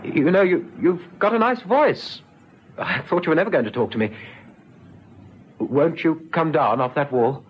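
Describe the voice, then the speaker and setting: An actor playing a gentle young policeman in a vintage radio drama, soothing, patient and a little hesitant. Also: on the high side, lively intonation, moderate pace, long pauses, lightly acted. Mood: gently persuading. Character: young policeman, calm, gentle, friendly negotiator. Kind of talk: 1970s radio drama acting. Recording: dull vintage radio recording with noise.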